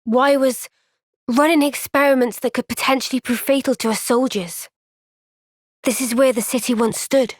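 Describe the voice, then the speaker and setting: A woman playing a young, thoughtful and caring warrior heroine in a video game, speaking in a measured, earnest, gentle way. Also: high-pitched, fairly even intonation, no room sound, clean studio sound. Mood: grave, reflective. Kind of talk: video game cutscene voice acting.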